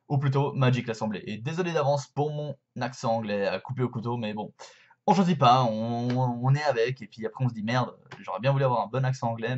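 A man's voice: medium pitch, lo-fi sound. A young man in his twenties chatting casually to a webcam from his bedroom, explaining a card game with lots of hesitations and fillers. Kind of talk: casual explainer vlog to camera. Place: bedroom with shelves, indoors.

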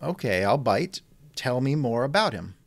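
A man's voice: medium pitch, big pitch swings, moderate pace, no room sound. A man hosting a scripted podcast interview with a dry, sardonic manner, close on the microphone.

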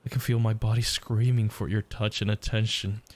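A man voicing a desperate, lovesick, self-loathing young yandere in an intimate ASMR roleplay, halting and stammering. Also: lowish voice, level tone, a little mellow, lightly acted. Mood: tender, anxious. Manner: halting, stammering, pleading, breathy sighs.

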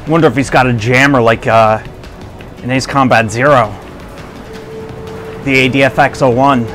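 A man's voice: mid-range voice, fairly even intonation, moderate pace, a bit bright, faint music behind. A man playing a video game and giving light, casual commentary as he goes.

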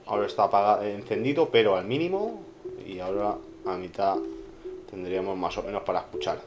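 A man with an accent from Spain narrating a casual unboxing review in an informal way, pausing and repeating himself. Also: lowish voice. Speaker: video reviewer. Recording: music behind the voice. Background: background music.

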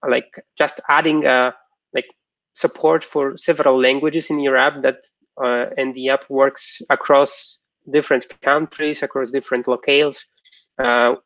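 A young man, a software developer, giving an informal technical presentation online in a halting, explanatory way. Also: medium pitch, no room sound. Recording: dull, lo-fi online stream audio.

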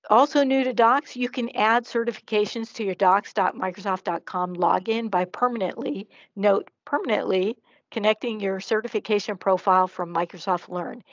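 A woman's voice: medium pitch, normal speed, dry recording. A woman presenter giving a slide presentation and answering questions over a video call. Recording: slightly dull online meeting audio.

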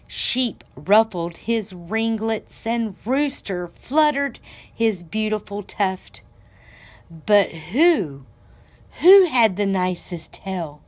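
A woman reads a children's storybook aloud at a very unhurried pace. Her mid-pitched voice is expressive and deliberate, as if telling the story to young listeners. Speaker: library director reading aloud to children.

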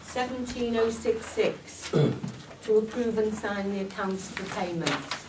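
A woman chairing a formal council meeting, moving briskly through votes and agenda items. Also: mid-range voice. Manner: brisk, procedural. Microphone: far room mic. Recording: echoey hall, far mic, clear hiss.

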